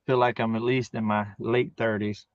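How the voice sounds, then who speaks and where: A man's voice: fairly low pitch, level tone, moderate pace, no echo, highs cut off. A man in his forties chatting casually as co-host of a live stream, with folksy, teasing banter. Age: 40s. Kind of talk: casual chat.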